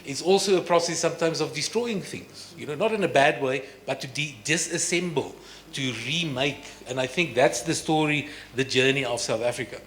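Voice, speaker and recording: A man speaking on a panel in a measured, analytical way. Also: a little high, fairly bright tone. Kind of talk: panel discussion. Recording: echoey room.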